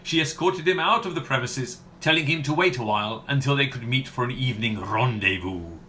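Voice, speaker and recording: Pompous, vain author for radio comedy, played by a man, bright voice swinging widely in pitch, clear background noise. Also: expressive, fairly quick. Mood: self-satisfied. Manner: pompous, florid, condescending. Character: vain, pompous, flirtatious male detective novelist. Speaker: voice actor. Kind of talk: audio comedy radio play acting.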